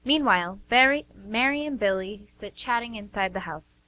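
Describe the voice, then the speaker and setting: A woman's voice: medium pitch, animated, moderate pace, sharp tone. A woman narrating a scripted story as co-host of a radio theatre show.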